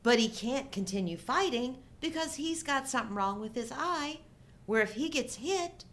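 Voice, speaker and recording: A middle-aged woman co-hosting a show in casual movie chat, talking informally in halting fragments. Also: expressive, fairly bright tone. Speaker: co-host of a live chat show. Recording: low rumble and background noise.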